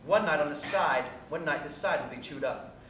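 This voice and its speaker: A man performs a story for a live stage reading, recorded at a distance in a very reverberant space with faint noise beneath. His voice sits a little high, and he tells the story in a deliberate, measured way. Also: a bit bright. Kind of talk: live dramatic reading of a first-person story. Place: theatre stage at a live cabaret, recorded from afar.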